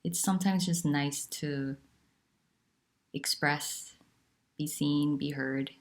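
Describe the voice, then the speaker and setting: Low creaky-voiced woman sharing softly. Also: fairly even intonation, takes it slowly. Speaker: nonduality teacher. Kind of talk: reflective spiritual talk.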